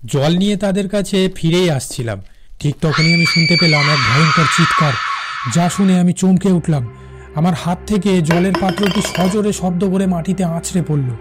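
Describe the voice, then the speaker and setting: Man playing haunted railway officer, higher-pitched voice, suspenseful halting horror narration, repeated words, cartoon, prominent background music. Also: raised voice, lightly acted. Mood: fearful, suspenseful. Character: shaken railway officer recounting his own ghostly encounter. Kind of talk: dramatic horror storytelling in an animated cartoon.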